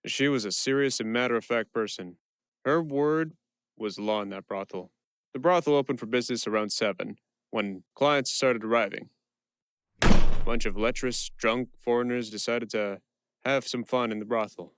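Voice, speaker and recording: A man playing a quick-witted prisoner who narrates his own tale in a first-person audio play. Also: medium pitch, a bit bright, clean tone, dry recording, close to an everyday voice. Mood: relishing storyteller.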